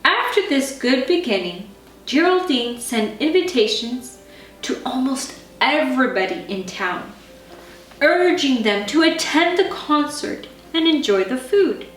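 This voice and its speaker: Slow, high-voiced woman reading a bedtime story. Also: animated. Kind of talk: slow scripted narration of a children's bedtime story. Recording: roomy, distant mic, faint music.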